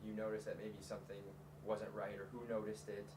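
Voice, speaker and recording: Male interviewer, low voice, fast loose prompts, far from mic, echo, hum. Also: monotone. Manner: rapid, loose prompting questions. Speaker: interviewer, producer. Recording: distant, echoey, humming room.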